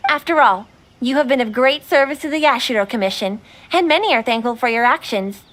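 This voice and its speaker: High-voiced, courteous overseer woman. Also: lively intonation, fairly quick, a bit bright. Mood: surprised, reassuring. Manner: polite, formal, composed. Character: polite, dutiful female overseer of a young ninja. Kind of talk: video game character dialogue. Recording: game music behind the voice. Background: background music.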